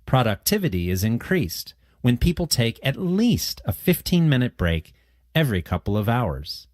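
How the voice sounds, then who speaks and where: A man reading short practice passages aloud as scripted narration, with careful, measured enunciation. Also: medium pitch, big pitch swings, darkish tone, dry recording, clean studio sound.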